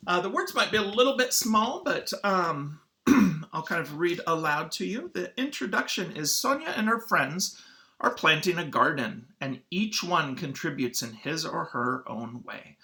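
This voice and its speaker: A man speaking as a schoolteacher in an informal read-aloud lesson for children, halting and often clearing his throat. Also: fairly high pitch, animated, bright, forceful tone.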